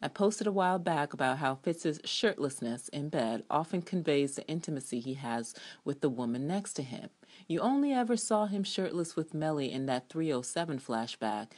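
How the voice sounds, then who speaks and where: A woman with a low-pitched voice reads her review essay aloud as a performance. Her delivery is expressive and theatrical, and she slips in playful asides as a fan reviewer. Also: normal speed. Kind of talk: dramatic reading of a written TV review essay.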